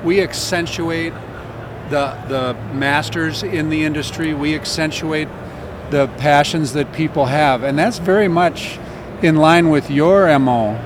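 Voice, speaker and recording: A man's voice: a little high, a little slow, background noise. Enthusiastic man hosting a chat. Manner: enthusiastic, complimentary. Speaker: podcast host. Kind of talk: casual interview chat.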